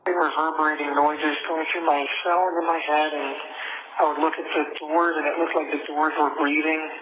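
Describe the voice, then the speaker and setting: A man talking haltingly and casually as an inmate on a private telephone call. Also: fairly high pitch, little pitch movement. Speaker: jail inmate on a phone call. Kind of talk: casual private phone conversation. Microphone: telephone handset. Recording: noisy jail phone line recording.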